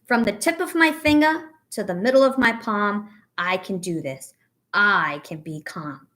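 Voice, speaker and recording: A woman teacher reading aloud to young children and guiding a breathing exercise, with an encouraging, instructive, expressive storybook delivery. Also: medium pitch, a little slow, slight background noise.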